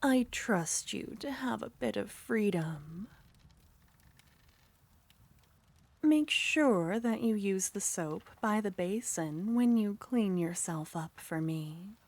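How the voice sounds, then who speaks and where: Woman playing a slow, whispery possessive witch. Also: medium pitch, animated. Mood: teasing. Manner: soft, teasing, often whispered. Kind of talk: ASMR roleplay.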